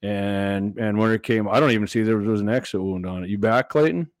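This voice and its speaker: A hoarse man, hosting a casual podcast and talking haltingly, repeating words as he goes. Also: on the low side, no room sound, clean studio sound. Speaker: podcast host.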